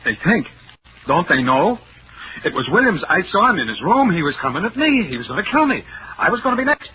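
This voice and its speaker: An actor playing a haunted, delusional man in a 1940s radio thriller, telling his nightmarish memory haltingly and breaking into panic. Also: high-pitched, sing-song, slight background noise. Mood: panicked. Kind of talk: 1940s radio thriller acting, first-person narration. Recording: tinny vintage radio, loud music behind.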